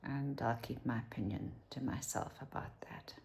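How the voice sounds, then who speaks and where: A woman's voice: a bass voice, normal speed, a little mellow, slight background noise. A South African woman in her fifties talking candidly to camera at home, rambling and dropping to a whisper for asides. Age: 50s. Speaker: vlogger. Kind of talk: casual talk to camera.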